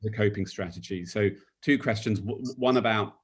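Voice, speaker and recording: Male webinar host, a bit low, casual and halting while relaying questions. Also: slightly rough. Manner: informal, fillers and hesitant restarts. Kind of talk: moderating questions. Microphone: video call.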